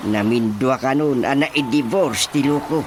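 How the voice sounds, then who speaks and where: A man acting a sheepish, excitable, defensive husband in an Ilocano comedy radio drama. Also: mid-range voice, moderate pace, background noise, close to an everyday voice. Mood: gossipy.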